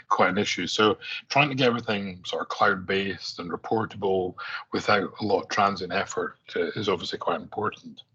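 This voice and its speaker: A man's voice: fairly low pitch, a bit bright, husky, highs cut off. A middle-aged man from Scotland with an Aberdeen accent, giving a conference presentation in a conversational way, with many fillers and restarts. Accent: Scottish, Aberdeen. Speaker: conference speaker.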